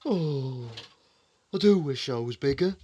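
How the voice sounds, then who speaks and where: A man's voice: mid-range voice, expressive, slow, dark tone. A man voicing a tiny, wistful, easily amazed little man from a children's storybook, exclaiming and musing to himself. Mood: wistful sighing.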